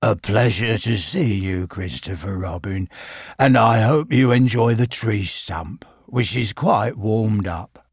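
A man doing a slow, glum old donkey. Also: lively intonation, breathy edge, dry recording, clean studio sound, heavily acted. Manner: slow, low, mournful. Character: gloomy old male donkey, slow and mournful.

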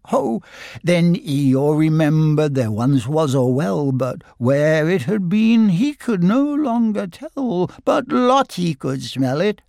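A man voicing a kindly, simple toy bear in a storybook, slow, earnest and sing-song. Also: on the high side, a little mellow, dry recording, clean studio sound, pitched above the performer's own voice. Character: kindly, simple male toy bear singing rambling hums. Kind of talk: storybook narration with character voices.

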